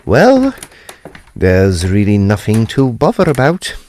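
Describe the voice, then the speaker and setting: An actor playing a polite, uneasy adult son who recounts a haunting in formal, old-fashioned, expressive storytelling for an audio drama. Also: male voice, on the low side, takes it slowly, a little hiss or hum, full character voice. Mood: reassuring.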